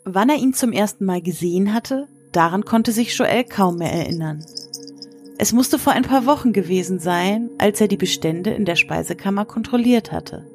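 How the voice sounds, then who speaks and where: A woman narrating a scripted story in a measured, literary reading style. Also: medium pitch.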